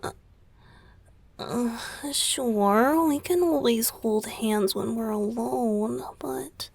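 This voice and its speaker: A woman voicing a young male idol boyfriend in a romantic ASMR roleplay, tender and clingy, speaking in an intimate, hesitant, breathy whisper. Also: a little high, dry recording, heavily acted. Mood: hurt, pouty. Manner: soft, hesitant, pleading, confiding.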